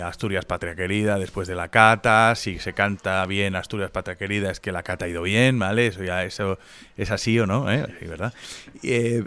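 A low-voiced man hosts a relaxed podcast conversation. He muses aloud, chatty and reflective, often ending thoughts with small tag questions. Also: clean tone. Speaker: podcast host. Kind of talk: casual conversational interview. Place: wine venue indoors.

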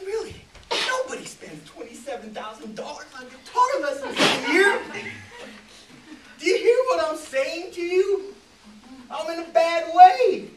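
An actor performing a stage monologue as a boastful, self-pitying Hollywood producer, theatrical and halting in delivery. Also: male voice, sits high, exaggerated voice. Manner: theatrical, halting, swinging from hushed to shouting. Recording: roomy, distant mic, loud background noise.

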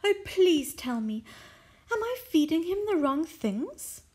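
A woman voicing a sweet, kindly lady who dotes on her pet tortoise, speaking in a high, fluttery, pleading way. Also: very animated, slow pace, a little mellow, heavily acted. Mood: doting, pleading.

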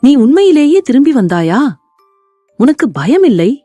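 A big hungry storybook tiger, played by a woman, speaks with commanding authority. The pitch swings widely, and the sound is dry and close over music. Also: very animated, measured pace, warm tone, dry recording, full character voice. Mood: astonished. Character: big hungry threatening tiger who softens to mercy.

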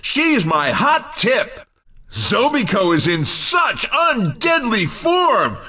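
An actor playing an excited, jokey racing commentator in a video game, with punchy, showy delivery. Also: male voice, sits high, slow, distant mic, full character voice. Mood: excited, confident. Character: hyped, jokey male arcade racing commentator. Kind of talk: excited sports commentary voice lines.

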